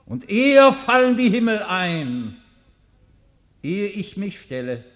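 Slow, grandly theatrical enigmatic mastermind. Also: male voice, sing-song, a bit bright, full character voice. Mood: defiant, booming. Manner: theatrical, declamatory, swinging from murmur to booming proclamation. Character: enigmatic, taunting hunted mastermind, defiant and grandiose. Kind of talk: German radio play acting.

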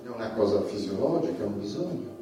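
An actor playing a provocative, irreverent male stand-up comedian in a theatrical monologue, addressing the audience with dramatic pauses and repeated phrases. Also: on the low side, animated, mellow, close to an everyday voice. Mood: wry, questioning. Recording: echoey room, distant mic, music behind.

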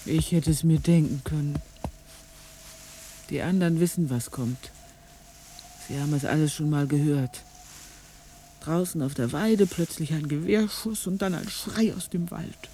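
A solitary countryman in a radio play, musing and coaxing his animals. His voice is fairly high and mellow, with sheep bleating faintly behind him. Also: measured pace, pauses often, slight background noise. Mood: gently musing. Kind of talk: radio drama acting.

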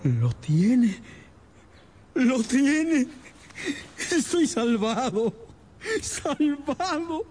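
A man's voice: extremely high pitch, very animated, very slow, pauses often, darkish tone, exaggerated voice. A man playing a distraught, anxious banker and uncle in a melodramatic radio drama, with a hesitant, agitated and theatrical delivery.

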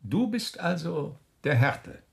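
An actor playing a mocking, self-satisfied Nazi SS officer in a German radio drama, theatrical and taunting, by turns halting and pouncing. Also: male voice, fairly high pitch, very animated, vocal fry, full character voice. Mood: mocking interrogation.

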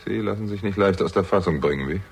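An actor playing a shrewd young amateur sleuth in a German radio crime drama, husky-voiced, with a pointed, probing and wry manner. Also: male voice, sits low, fast, heavily acted. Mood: insistent, wry. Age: young adult.

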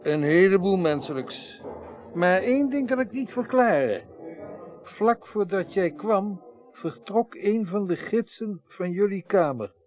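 Radio play role: parliamentary reporter, high-pitched, observant, confiding delivery. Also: male voice, lively intonation, a little slow, a little mellow. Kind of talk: Dutch radio drama acting.

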